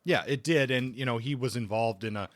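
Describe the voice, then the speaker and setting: A man co-hosting a podcast, casually discussing books in a halting way, often rephrasing as he thinks aloud. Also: mid-range voice, no room sound.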